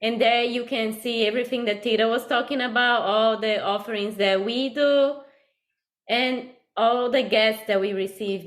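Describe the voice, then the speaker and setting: Earnest woman, fairly high voice. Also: a bit bright. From Brazil. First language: Portuguese. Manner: earnest, reflective, laughs lightly while talking. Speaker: guest, birth and death doula. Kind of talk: casual interview talk.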